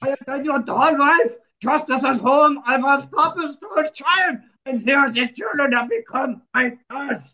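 Manic hotelier, fake German accent, piercingly high, loud, dull sound. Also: male voice, measured pace, hardly any pauses, exaggerated voice. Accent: put-on German over British. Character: frantic British hotelier man mimicking German.

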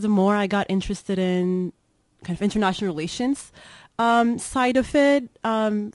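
A woman's voice: medium pitch, no room sound. A woman with a Turkish accent and a mid-range voice, speaking as a professor being interviewed. Her phrasing is informal and conversational, and the sound is close and dry. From Turkey. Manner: informal, frequent "like" and "kind of". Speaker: guest expert, political science professor.

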